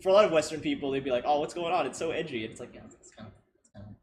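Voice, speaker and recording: Guy talking fast and kinda high, voice all over the place, casual debate, bit of background noise. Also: animated, darkish tone. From Philippines. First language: Filipino background, speaks with a non-Filipino accent. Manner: chuckles often while talking. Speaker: co-host of a ranking discussion. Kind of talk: casual chat, opinionated debate.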